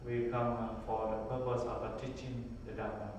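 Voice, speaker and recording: A Buddhist monk with a Vietnamese accent who answers interview questions in simple English, pausing often and filling gaps with hesitations. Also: male voice, mid-range voice, little pitch movement. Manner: halting, many pauses and fillers, simple English. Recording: echoey hall, far from the mic, faint noise.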